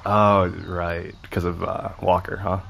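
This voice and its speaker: A man's voice: deep voice, flat delivery, takes it slowly, creaky, fairly bright tone, heavily acted. A man with an American accent playing a wry, wordy teenage stoner in an audio drama. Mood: casual, gossipy. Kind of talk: naturalistic audio drama dialogue. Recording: noisy outdoor ambience behind.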